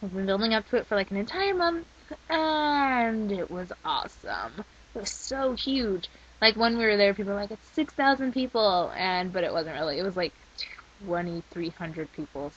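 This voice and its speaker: A young woman rambling in a casual video diary, her voice worn from a week of shouting. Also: medium pitch, breathy edge. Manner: chatty and rambling. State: losing her voice. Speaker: vlogger. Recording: slightly dull lo-fi recording.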